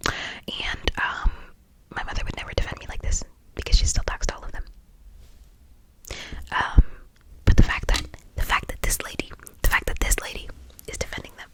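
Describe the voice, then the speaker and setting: A video creator speaking in a breathy whisper, casually reacting to stories in a gushing, slangy way with emphatic repetition and rambling asides. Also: normal speed, long pauses, fairly bright tone, poor audio. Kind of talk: whispered ASMR storytelling and reaction, casual chat.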